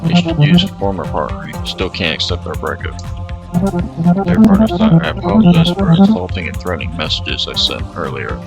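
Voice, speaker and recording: A man streaming a visual novel, reading its text aloud with short comments of his own. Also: sits low. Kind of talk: reading game dialogue aloud over gameplay. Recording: game music playing loudly underneath.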